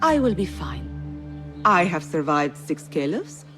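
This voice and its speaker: An actress playing a proud, witty, defiant court poet in a video game, with a poetic, theatrical delivery. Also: female voice, deep voice, big pitch swings, measured pace, music in the background. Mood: proud, wry. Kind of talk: dramatic video game acting.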